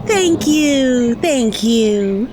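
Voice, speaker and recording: A woman's voice: high-pitched, expressive, drawn-out words, background noise, full character voice. An actress playing a cheeky, self-assured young female inspector in an audio drama, drily amused and teasing. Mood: playful.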